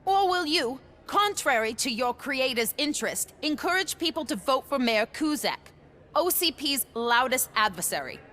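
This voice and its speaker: A woman's voice: high voice, animated, normal speed, bright, forceful tone. An actress playing a composed, persistent news reporter in a game cutscene, articulate and formal as she puts pointed questions. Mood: pressing.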